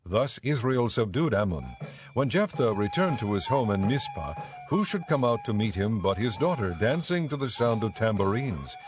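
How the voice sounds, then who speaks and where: A man giving scripted, measured and solemn narration for a dramatized audio Bible. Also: medium pitch, very animated. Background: background music.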